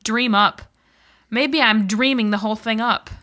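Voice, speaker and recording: Woman reading out vocab phrases for english class, bright, slightly high, very careful. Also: steady tone, unhurried. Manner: careful, clearly enunciated. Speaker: phrase reader. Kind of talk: language-lesson recitation of phrases.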